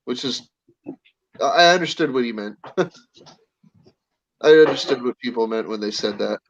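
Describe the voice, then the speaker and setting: An American man hosting a live stream chat, excitable and laughing, often stumbling over restarts. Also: mid-range voice, normal speed, creaky, highs cut off. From United States. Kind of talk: casual chat.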